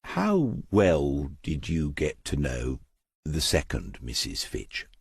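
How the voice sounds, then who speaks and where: A man reads the part of a shrewd older detective in a crime audiobook. He has a very low, husky voice and asks things in a polite, casual, probing way. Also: huge pitch swings, takes it slowly, no echo, clean studio sound, heavily acted. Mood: politely probing. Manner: courteous, casual questioning.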